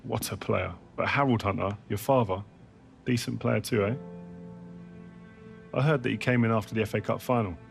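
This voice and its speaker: A man's voice: on the low side, steady tone, moderate pace, vocal fry, pauses often, faint music behind. An actor playing a chummy British football interviewer in a game cutscene, chatty and informal as he probes. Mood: probing, friendly.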